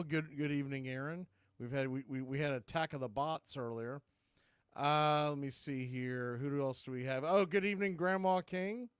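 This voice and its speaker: A man hosts a live baseball broadcast. His voice sits a little high and is very clean and smooth, recorded close and dry. He talks in a folksy, informal way, moving between play-by-play and chat with listeners. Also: dry recording. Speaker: baseball play-by-play streamer and show host. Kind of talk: casual live sports commentary.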